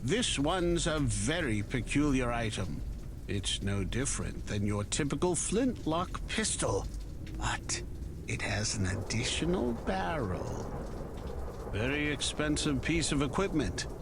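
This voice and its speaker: A man voicing a chatty, obliging weapons merchant from the musket era in a video game, talking in unhurried salesman patter. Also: medium pitch, very animated, noisy background. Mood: helpful, musing. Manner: unhurried, conversational salesman patter with dramatic pauses. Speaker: game shopkeeper character.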